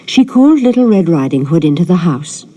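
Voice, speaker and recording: A woman narrating a children's fairy tale in an expressive storytelling manner. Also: fairly low pitch, a little fast. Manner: animated storytelling. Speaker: storybook reader.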